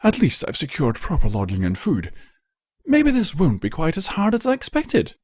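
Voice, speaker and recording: A man's voice: fairly high pitch, huge pitch swings, normal speed, a little mellow, a little hiss or hum, pitched above the performer's own voice. A man voicing a vain, self-satisfied stallion prince in a storybook reading, pompous and formal in manner. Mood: smug.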